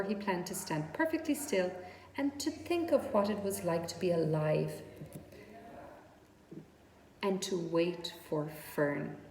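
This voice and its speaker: A woman reading a children's story aloud as narrator, with deliberate, expressive phrasing. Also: mid-range voice. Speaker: storybook reader. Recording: echoey reverberant room with background noise.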